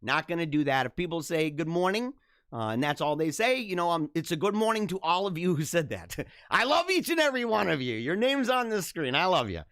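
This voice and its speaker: A young man hosting a casual live-streamed talk show, with a slightly high voice that swoops up and down a lot. He chuckles often and repeats himself. The sound is dry and close, with no room echo. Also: big pitch swings, a little fast, fairly bright tone. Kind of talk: casual live-stream chat reading viewer comments.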